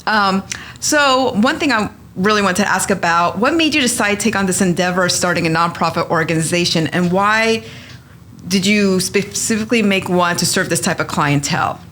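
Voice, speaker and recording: A woman in her thirties hosts an interview podcast, greeting her guest with real enthusiasm. She speaks at an ordinary pitch, and the pace picks up when she gets excited. A faint hiss of background noise sits under the recording. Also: normal speed. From United States. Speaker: podcast host, immigration attorney.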